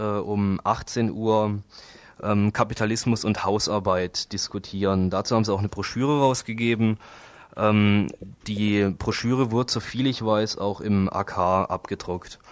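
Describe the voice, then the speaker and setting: A man presenting informal community radio announcements in a hesitant, halting way. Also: fairly low pitch, no echo.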